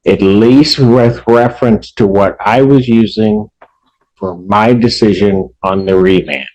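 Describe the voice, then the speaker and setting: Male American judge, lowish pitch, stern courtroom delivery, admonishing and explanatory. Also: fairly even intonation, measured pace, darkish tone, dull audio. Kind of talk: courtroom address from the bench.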